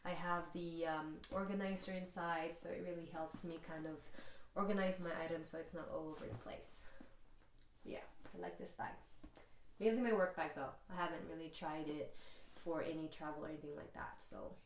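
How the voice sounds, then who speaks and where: Woman vlogger, stuffy nasal voice, lowish pitch, casual rambling chat, echoey room. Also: steady tone, moderate pace. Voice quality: nasal, congested. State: congested, recovering from mild sickness. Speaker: vlogger, channel host. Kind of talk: casual handbag collection video chat. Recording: echoey room with hiss and clicks.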